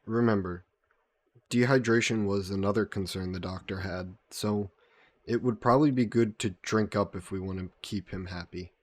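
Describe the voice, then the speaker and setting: A man playing a gentle, guilt-burdened vampire caretaker in an intimate audio roleplay, speaking politely and formally with some hesitation. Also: on the low side, steady tone, normal speed, dry recording, natural voice. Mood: attentive, caring. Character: gentle, guilt-burdened male vampire employer caring for a guest.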